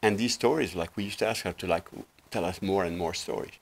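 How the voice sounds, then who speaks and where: A man's voice: deep voice, a little fast, creaky, husky. A man in his sixties from Lebanon, a guest life coach telling his story earnestly in a small TV studio, with lots of fillers. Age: 60s. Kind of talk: casual interview talk. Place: small TV studio set, indoors.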